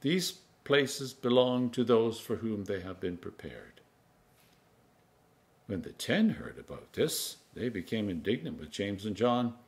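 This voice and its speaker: A man in his sixties, an Anglican priest, reading scripture aloud in a measured, solemn, emphatic manner. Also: on the low side, sing-song. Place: home kitchen, indoors.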